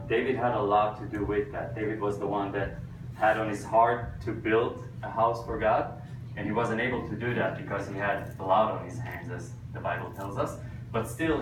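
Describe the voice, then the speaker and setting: A man from Israel with a thick Israeli accent, teaching a church group with slides, halting with many fillers and dry self-mocking jokes. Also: on the low side. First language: Hebrew. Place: church hall with audience. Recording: echoey room, voice from a distance, noisy. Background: audience laughter, room ambience.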